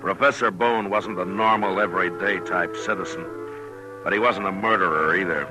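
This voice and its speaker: An actor playing a calm, weary frontier marshal, narrating and speaking in a measured way in a 1950s radio western. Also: male voice, low voice, over loud music, lightly acted, poor audio. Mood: somber, reflective. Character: steady, weary frontier marshal telling his story. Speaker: lead and narrator.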